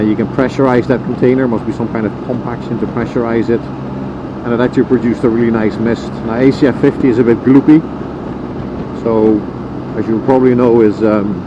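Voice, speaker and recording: Dutch-accented man, rambling helmet-cam rider, low voice, dull audio under loud wind roar. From Netherlands. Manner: rambling, relaxed, many ums and uhs. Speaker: motorcycle vlogger. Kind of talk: casual vlog commentary while riding. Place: on a motorcycle riding outdoors. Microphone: helmet intercom headset mic. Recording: muffled lo-fi helmet mic, loud road and wind noise. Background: engine and road noise.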